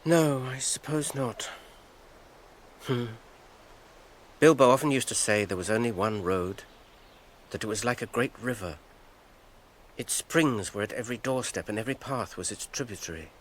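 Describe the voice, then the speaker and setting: An actor with an English accent playing a thoughtful, wistful hobbit in a radio drama, reflective and measured. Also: male voice, medium pitch, lively intonation, a little hiss or hum, close to an everyday voice. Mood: musing.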